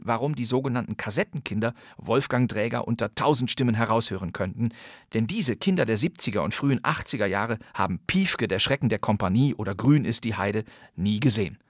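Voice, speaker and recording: A man's voice: medium pitch, no room sound, clean studio sound. A man with pronunciation hosting a scripted radio podcast in a wry, emphatic way. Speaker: podcast host.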